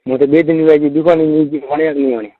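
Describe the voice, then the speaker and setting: A man's voice: fairly even intonation, quick pace, no room sound. A man with a Rajasthani Marwari accent chatting casually on the phone, often repeating his phrases. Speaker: tailor shop owner. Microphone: telephone. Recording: muffled low-fidelity phone call recording.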